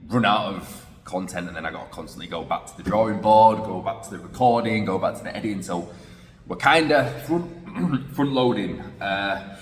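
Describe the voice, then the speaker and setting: Male vlogger, deep and reflective, casual phone recording in a roomy space with faint background noise. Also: moderate pace. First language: English. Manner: reflective, thinking aloud with restarts. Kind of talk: casual vlog talking to camera. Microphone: phone camera. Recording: echoey room with long reverb.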